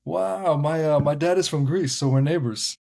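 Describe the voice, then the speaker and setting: A man's voice: fairly high pitch, normal speed, creaky, mellow. A man hosting a podcast interview, reacting with enthusiasm and asking questions between hesitant fillers. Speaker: podcast host.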